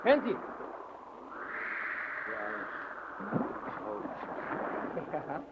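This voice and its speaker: An actor playing a brooding, self-righteous lighthouse worker in a German radio play, deliberate and solemn. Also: male voice, close to an everyday voice. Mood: taunting. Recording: dull old radio recording, faint noise.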